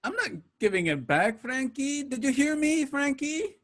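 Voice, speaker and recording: A man's voice: very high voice, big pitch swings, a little slow, a bit bright, heavily acted. A man voicing an indignant, stubborn gangster's wife in a crime radio play, whiny and insistent. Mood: stubborn defiance.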